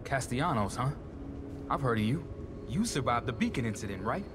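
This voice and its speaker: A man's voice: mid-range voice, very animated, fairly quick, noisy background, lightly acted. An actor playing a nervous male programmer and hacker in a video game, talking in a wheedling, persuasive way. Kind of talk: video game cutscene acting.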